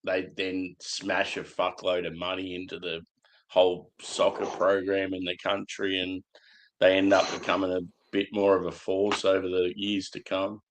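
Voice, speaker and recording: A man talks in a relaxed, blunt way and swears without a second thought. His voice sits on the low side. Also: normal speed, low-quality recording. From Australia. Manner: laid-back, blunt, swears freely. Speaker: beer reviewer. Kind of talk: casual chat.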